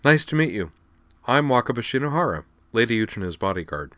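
Casting: smug young woman read by a man, brash and teasing, lifted pitch, storybook narration style. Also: sing-song, brisk, a bit bright, dry recording. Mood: breezy, jaunty. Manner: sarcastic, casual slangy talk.

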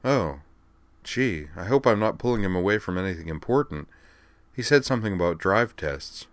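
A man's voice: sits low, lively intonation, moderate pace, dry recording. A man voicing an eager, earnest young woman student in an audiobook, talking in a chatty, slightly sheepish way. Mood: surprised. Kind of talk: audiobook character voice.

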